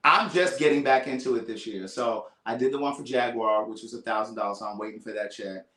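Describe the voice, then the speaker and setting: A man hosting a casual chat, telling stories in an animated, playful, rambling way. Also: mid-range voice, fast. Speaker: host. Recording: echoey room.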